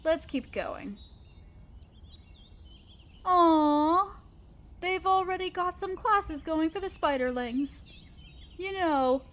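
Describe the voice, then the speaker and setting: A gentle spider queen, acted by a woman with a fairly high voice. She is loving and thoughtful, explaining things tenderly and patiently, as a mother would to an adopted child in an audio roleplay. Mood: pleased, then warm, reassuring. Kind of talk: scripted audio roleplay, addressing the listener.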